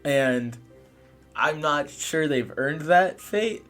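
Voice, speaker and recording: A man's voice: a little high, drawn-out words, a bit bright. A man playing a tabletop game, roleplaying casually in a hesitant, questioning way. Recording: music behind the voice.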